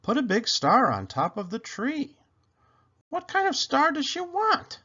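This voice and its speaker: A man's voice: extremely high pitch, wildly swooping pitch, unhurried, sharp tone, muffled recording, pitched above the performer's own voice, exaggerated voice. A man voicing a cheerful, literal-minded housekeeper woman from a children's storybook, earnest and exclamatory. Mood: earnest, pondering.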